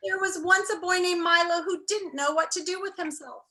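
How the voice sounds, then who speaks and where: A woman's voice: very high-pitched, steady tone, moderate pace, sharp tone. A woman teacher talking and reading aloud to an online class, enthusiastic and encouraging. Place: indoors, on a video call.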